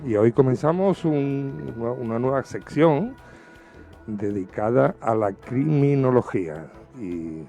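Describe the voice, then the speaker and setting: Mellow, gravelly male radio host. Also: medium pitch. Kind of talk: casual radio chat.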